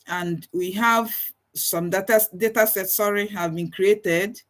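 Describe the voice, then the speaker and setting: A woman with a Nigerian accent, a native Igbo speaker, delivers a technical webinar talk at a middle pitch. She works through her points methodically, ticking off items one after another and pausing to restart phrases. Her voice comes through a video call microphone. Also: steady tone, a bit bright. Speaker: webinar presenter. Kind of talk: technical lecture.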